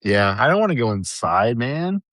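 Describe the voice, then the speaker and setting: A man's voice: medium pitch, sing-song, moderate pace, a bit bright, dry recording, studio quality. A man co-hosting a podcast in casual chat, easygoing and chatty. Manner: easygoing, chatty, many fillers like "like" and "dude". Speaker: podcast co-host.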